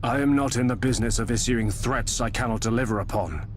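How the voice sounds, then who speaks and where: A man playing a resolute, dignified naval high admiral in a video game, formal and commanding. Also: a little fast, close to an everyday voice. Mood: stern warning. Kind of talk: video game cutscene acting.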